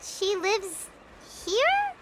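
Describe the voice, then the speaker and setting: A woman voicing a tiny, excitable, cheeky childlike fairy companion in a video game, with a squeaky voice and blunt, exclamatory manner. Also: very slow, full character voice. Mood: cheeky, doubtful. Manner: exclamatory, blunt, third-person self-reference. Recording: loud music under the voice.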